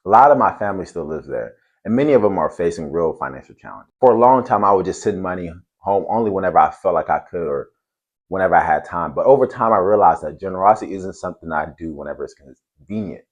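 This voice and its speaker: A man from Cameroon hosting a faith and finance channel, giving an earnest, steady talk to camera. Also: low-pitched, fast talker.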